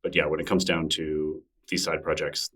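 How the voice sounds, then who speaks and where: A man's voice: extremely low pitch, normal speed, recorded from a distance. A middle-aged man being interviewed as a podcast guest, talking casually and self-deprecatingly with many restarts. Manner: relaxed, rambling, self-deprecating with frequent restarts.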